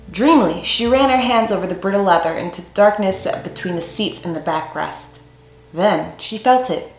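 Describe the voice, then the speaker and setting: A young woman reading a children's picture book aloud as a storyteller, with deliberate, expressive phrasing in a small room. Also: mid-range voice. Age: young adult. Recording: roomy echo, faint music underneath.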